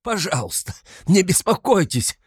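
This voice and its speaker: A man's voice: on the low side, moderate pace, darkish tone, no room sound, clean studio sound, lightly acted. A man playing a proud, overwrought young male suspect in a novel, speaking in emphatic, clipped, defiant declarations. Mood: hysterical.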